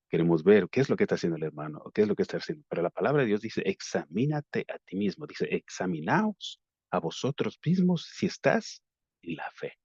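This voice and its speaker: A man's voice: deep voice, very animated, fairly quick, darkish tone, slightly rough. A man with an Ecuadorian Spanish accent gives an earnest devotional sermon, repeating phrases for emphasis. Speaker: lay preacher. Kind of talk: devotional sermon with prayer and scripture reading. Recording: roomy, dull lo-fi online call audio.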